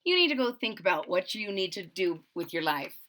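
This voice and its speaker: A woman's voice: mid-range voice, big pitch swings, fairly quick, a bit bright. A woman voicing frustrated, scolding parents in a children's storybook, with stern, emphatic commands. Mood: exasperated. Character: frustrated, scolding parents of a curious little girl.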